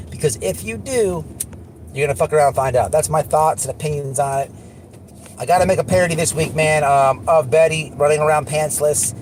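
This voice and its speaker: A man hosts a casual livestream in a voice pitched a little high. His talk is blunt and full of slang and swearing. There is some car noise behind him. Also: darkish tone. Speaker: livestream host. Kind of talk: casual livestream chat and commentary. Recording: noisy recording with car sounds.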